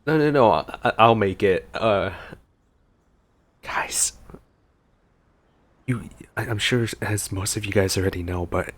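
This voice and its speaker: A man's voice: fairly low pitch, measured pace, long pauses. A man giving casual gameplay reaction commentary, conversational and a little hesitant in his delivery. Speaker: gaming video host.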